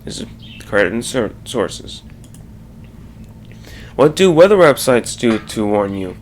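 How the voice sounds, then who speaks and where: A man giving an informal explanatory slide presentation, haltingly, with stumbles and restarts mid-sentence. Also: on the low side, sing-song, a little hiss or hum.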